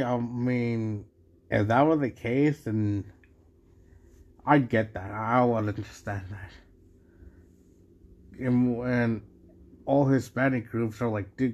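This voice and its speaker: A man's voice: medium pitch, highs cut off. A man from Mexico hosting a solo podcast, talking casually in a rambling way with many restarts and asides. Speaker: podcast host.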